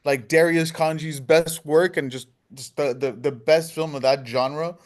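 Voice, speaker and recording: A man's voice: on the high side, fairly even intonation, measured pace. A man being interviewed, chatting casually and emphatically in fluent, slangy English. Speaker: film director being interviewed.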